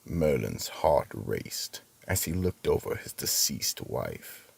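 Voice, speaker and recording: An actor playing a grave, gravelly male narrator of a supernatural mystery radio play. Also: rumbling low, very animated, slow, exaggerated voice. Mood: grave, suspenseful.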